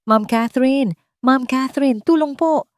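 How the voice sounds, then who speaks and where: A woman's voice: high-pitched, unhurried, no room sound, studio quality, voice pushed up. A woman voicing an older male servant in an audio story, respectful, polite and worried for the people he serves. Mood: urgent. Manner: polite and deferential.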